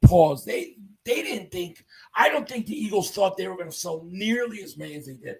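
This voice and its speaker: Husky-voiced man losing his voice. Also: sits high, expressive, normal speed. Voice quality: husky, fading voice. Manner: enthusiastic fan reading chat comments aloud. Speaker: sports livestream host. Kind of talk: casual live-chat commentary.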